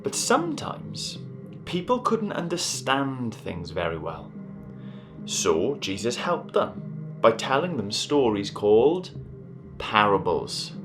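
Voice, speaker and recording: A man presenting and reading a story for children in a deliberate way, as host of a series. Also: medium pitch, sing-song. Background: music.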